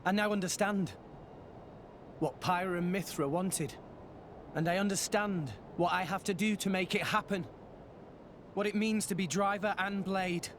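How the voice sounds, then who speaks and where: A man's voice: sits high, steady tone, normal speed, pauses often, a little hiss or hum. An actor playing an earnest, determined teenage boy hero in a video game cutscene. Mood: resolute. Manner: heartfelt, resolute. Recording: loud background music.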